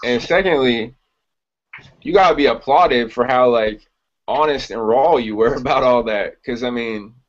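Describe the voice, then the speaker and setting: A man's voice: mid-range voice, a little slow. A man co-hosting a podcast, chatting informally in a supportive way. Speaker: podcast co-host. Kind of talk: casual chat. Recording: dull lo-fi podcast audio.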